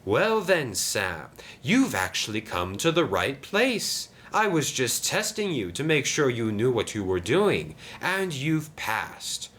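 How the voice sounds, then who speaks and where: A man's voice: mid-range voice, sing-song, slow, few pauses, fairly bright tone, voice pushed up. Man as a bossy, scheming diesel engine. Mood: falsely friendly. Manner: curt, sly, dismissive.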